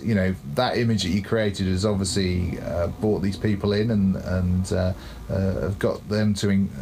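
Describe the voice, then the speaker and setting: A man's voice: lowish voice, vocal fry, a little mellow. A man co-hosting a cricket podcast, giving coaching advice in a thoughtful, casual way and repeating words as he thinks. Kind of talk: casual chat.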